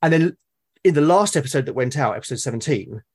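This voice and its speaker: A British man chatting as a podcast guest, thoughtful and halting as he builds his points. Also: mid-range voice, expressive, a little mellow, breathy edge. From Britain. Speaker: podcast guest, game master and podcast creator. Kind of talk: casual interview conversation.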